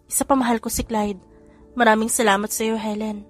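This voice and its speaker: A woman's voice: fairly high pitch, level tone, a little fast, soft background music, lightly acted. A woman voicing an earnest young mother of triplets who speaks in sincere, questioning tones.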